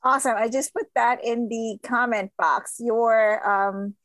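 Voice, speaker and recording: Upbeat woman podcast host, kinda high voice, dry sound, faint music under it. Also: steady tone, measured pace, fairly bright tone, no room sound. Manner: bubbly, emphatic, lots of fillers. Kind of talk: upbeat live podcast interview.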